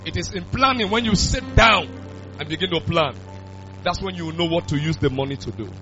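A young pastor preaches in a bright, high voice that leaps up and down in pitch. He swings from quiet talk to shouted emphasis. The recording is dull and lo-fi, with faint music playing beneath him. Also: animated, fairly quick. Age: 20s. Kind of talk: sermon.

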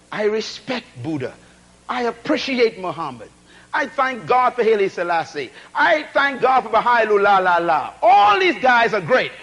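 A man with a very high, lively voice preaches a sermon, rising and falling in pitch as he hammers his points. He repeats key phrases, throws out rhetorical questions and calls for responses from the congregation. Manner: call-and-response, rhetorical questions, emphatic repetition; swings between shouted emphasis and low asides.

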